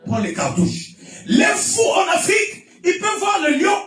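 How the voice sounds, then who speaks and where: In a reverberant church sound system, set back from the mic with music behind, a man preaches with a Congolese French accent. His voice rides very high and swings widely as he drives his exhortations home with emphatic repetition, often shouting. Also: huge pitch swings, moderate pace, distant mic. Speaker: pastor preaching. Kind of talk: fervent Pentecostal-style sermon with testimony and call-and-response.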